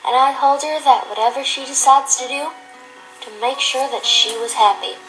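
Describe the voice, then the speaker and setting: A woman voicing a kindly, elderly pony lady in a cartoon-style audio drama, gentle and doting. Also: sits high, moderate pace, rough recording. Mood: tender, comforting. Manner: doting, gentle, grandmotherly endearments. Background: music.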